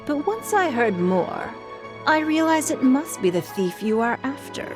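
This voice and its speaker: A woman's voice: sits high, very animated, music in the background. Poised, refined businesswoman. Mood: composed, informative. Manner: measured, formal, eloquent. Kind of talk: game dialogue acting.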